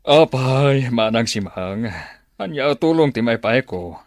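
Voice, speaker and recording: Wealthy, obliging older Don, expressive mid-range voice for radio drama, very dry sound. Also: male voice, mid-range voice, takes it slowly, no echo. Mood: generous. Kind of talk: Ilocano radio drama acting.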